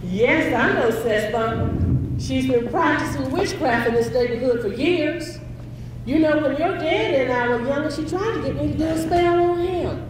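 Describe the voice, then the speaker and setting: A woman's voice: high-pitched, moderate pace, noisy background, natural voice. A woman acting on a theater stage as a devout, stern mother who quotes scripture in an emphatic, preachy way. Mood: stern, pleading. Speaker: stage actor. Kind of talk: live gospel stage play acting. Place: theater stage with kitchen set. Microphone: far camera. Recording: echoey theater, far-off camera mic.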